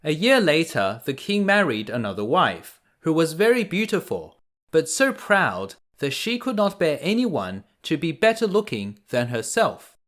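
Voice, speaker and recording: A man reading a scripted bedtime story aloud in a measured, expressive storyteller's manner. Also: on the high side.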